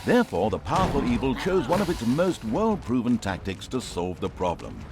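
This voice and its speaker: Wildly animated, wry fantasy game narrator. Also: male voice, mid-range voice, moderate pace. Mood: wry, theatrical. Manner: wry, pompous, theatrical. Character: wry, theatrical male storybook narrator of evil's conquests. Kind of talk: comic dramatic scripted narration for a fantasy game. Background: game music.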